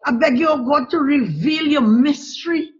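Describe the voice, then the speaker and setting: A woman's voice: high voice, normal speed. A woman leading a fervent spoken prayer, pleading and repeating calls to God and the Lord between phrases. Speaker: prayer leader. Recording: dull lo-fi recording.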